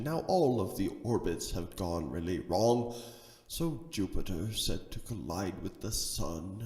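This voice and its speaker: A man reading a rhyming story theatrically, swinging between hushed and excited delivery, with a gravelly creak in the voice. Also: on the low side. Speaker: narrator, storyteller.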